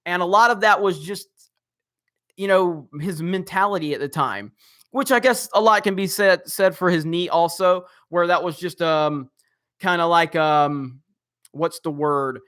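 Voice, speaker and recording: A man's voice: high voice, measured pace, fairly bright tone. A man hosting a live stream, reading comments in a rambling, casual way with lots of fillers and chuckles.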